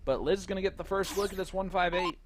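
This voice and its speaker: A man doing relaxed play-by-play commentary on a darts match, chuckling between calls. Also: high voice, quick pace. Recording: noticeable background noise.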